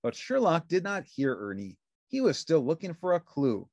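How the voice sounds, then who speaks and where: A woman's voice: low-pitched, wildly swooping pitch, normal speed, darkish tone, no echo, studio quality. A woman narrating a children's storybook aloud as the reader.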